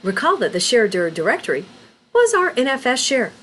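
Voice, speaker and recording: A woman with a fairly high voice gives scripted tutorial narration. Her pitch moves in a very lively way while she instructs deliberately, step by step, as a teacher recording a voice-over. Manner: deliberate, instructional, spells out terms letter by letter.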